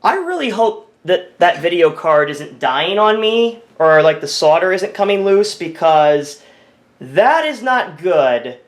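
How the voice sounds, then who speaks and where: A man's voice: high-pitched, very animated, slow. Rambling man in an echoey room. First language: English. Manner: rambling, self-correcting, thinking aloud. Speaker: tech video creator. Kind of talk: casual screen-recording commentary.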